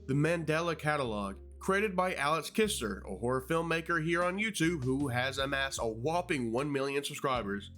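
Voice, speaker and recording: A man's voice: fairly high pitch, lively intonation, normal speed, hardly any pauses, a bit bright. A man narrating a video essay as a YouTube host, in a playful, conversational way. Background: music.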